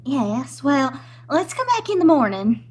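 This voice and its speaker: A woman's voice: high voice, sing-song, unhurried, a little mellow. A woman playing a nervous, easily spooked young wife in an old-time radio comedy, anxious and wryly pleading. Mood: uneasy, pleading.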